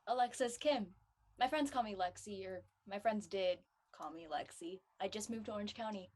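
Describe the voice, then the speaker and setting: A woman playing a friendly, easygoing teenage girl, soft-spoken, in casual audio drama dialogue. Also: medium pitch, moderate pace, close to an everyday voice. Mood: friendly, shy.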